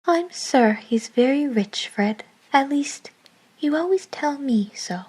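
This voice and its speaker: A woman playing an indignant, teasing young wife in a classic radio play, emphatic and disapproving, with a breathy voice. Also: high voice, animated, slow, darkish tone. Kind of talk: classic radio drama acting.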